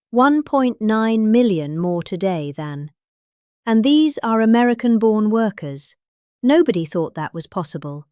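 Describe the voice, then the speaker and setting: A woman's voice: medium pitch, warm tone, no echo, studio quality. British woman reading with big, swooping emphasis. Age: middle-aged. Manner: exaggerated emphasis. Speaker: reader. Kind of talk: dramatic reading of a political speech.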